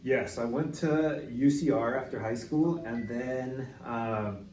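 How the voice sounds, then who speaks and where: A young man from Mexico City chatting as a podcast guest, laid-back and peppering his speech with "like." He sits well back from the mic in an echoey room with steady noise in the background. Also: medium pitch, slow, far from the mic. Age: young adult. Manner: relaxed, filler-heavy storytelling with many "like"s. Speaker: real estate agent, podcast guest. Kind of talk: casual interview chat.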